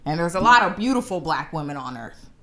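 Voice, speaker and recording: A woman's voice: low voice, very animated, a little fast, bright, forceful tone. A young woman from the United States hosting her own show, giving blunt, confident commentary straight to camera. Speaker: show host.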